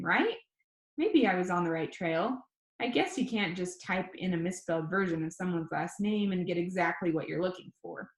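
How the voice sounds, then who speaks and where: A woman reading a first-person novel aloud as an audiobook, with expressive storytelling delivery. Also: lowish voice, echoey room, low-quality recording. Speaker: audiobook reader.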